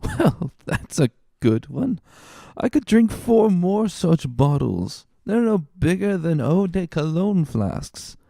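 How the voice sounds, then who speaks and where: High-pitched, drawling tipsy drunkard. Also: male voice, very animated, takes it slowly, creaky, few pauses, mellow, no room sound, studio quality, full character voice. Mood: tipsy, boastful. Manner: slurred, rambling tipsy delivery. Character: tipsy, good-natured, boastful male drinking companion.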